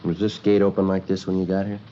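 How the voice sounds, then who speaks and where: An American man playing a terse, sober police detective sergeant who narrates his cases in a clipped, deadpan 1950s radio style. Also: sits low, quick pace, a little hiss or hum, natural voice. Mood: businesslike. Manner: deadpan, matter-of-fact. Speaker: narrator and lead actor. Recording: dull vintage radio recording, music behind.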